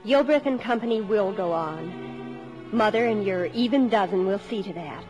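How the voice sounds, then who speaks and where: An actress playing a sincere, devoted teenage daughter who also narrates a 1950s radio drama, with a wistful manner. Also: female voice, medium pitch, lively intonation, moderate pace, over music, natural voice. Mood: proud, wistful.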